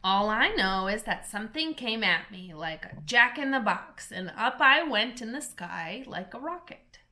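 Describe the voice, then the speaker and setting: Lady doing a tiny tired lizard guy, super soft and weary, slow. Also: a little high, expressive. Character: small worn-out male lizard, Bill.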